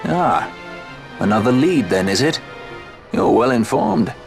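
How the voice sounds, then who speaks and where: An actor voicing a suave sky pirate with a dry, teasing delivery. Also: male voice, medium pitch, animated, unhurried. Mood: wry. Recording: game cutscene with soft music.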